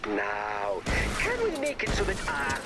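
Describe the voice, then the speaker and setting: An actor playing a beleaguered, sarcastic brain in a jar in a video game, prissy and testy. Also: male voice, mid-range voice, huge pitch swings, a little slow. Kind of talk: animated video game voice acting. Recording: clear background noise and ambience.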